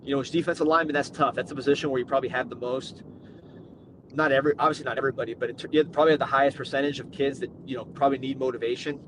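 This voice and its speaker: A man's voice: medium pitch, fairly even intonation, racing. A man hosting a sports podcast, talking casually and rambling with frequent restarts and asides. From Cuban heritage. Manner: rambling, self-interrupting, conversational. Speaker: podcast host. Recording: noticeable background noise.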